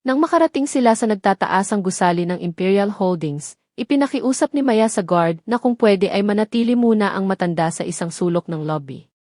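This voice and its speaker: A woman's voice: medium pitch, animated, a little fast, warm tone, no echo, studio quality. A woman reading a scripted dramatic story as its narrator.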